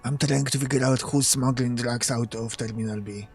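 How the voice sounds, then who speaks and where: A male streamer with a creaky, gravelly edge reads game dialogue aloud and chats casually with viewers at a mid pitch. Faint music plays under his voice. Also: fairly even intonation, normal speed.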